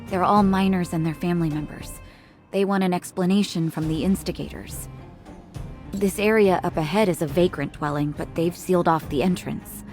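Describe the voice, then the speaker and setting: A woman voice acting a composed, kind doctor in a video game, speaking in a calm, measured, polite way. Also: on the low side, soft background music, natural voice. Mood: calm, grave.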